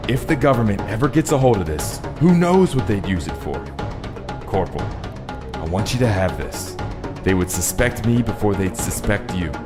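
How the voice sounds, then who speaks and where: A man's voice: fairly low pitch, very animated, moderate pace, music in the background. A man playing a grave, secretive soldier in an amateur audio drama, serious and urgent.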